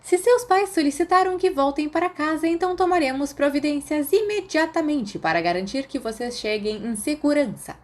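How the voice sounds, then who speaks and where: Woman voicing a tense, higher-pitched stern female professor. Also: moderate pace, few pauses, close to an everyday voice. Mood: tense, formal. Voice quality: thin, strained voice. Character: stern, tense, weary older female professor at a magic school.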